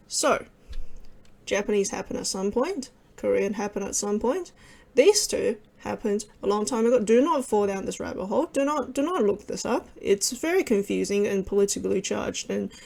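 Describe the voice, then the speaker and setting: A young woman, Cantonese her first language, talks through maps in a loose, rambling way, often breaking off into wry asides. Her voice sits in a middling register with plenty of gravelly vocal fry. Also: medium pitch, lively intonation, moderate pace, a little mellow. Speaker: video creator explaining with maps. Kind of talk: casual informal explainer over maps.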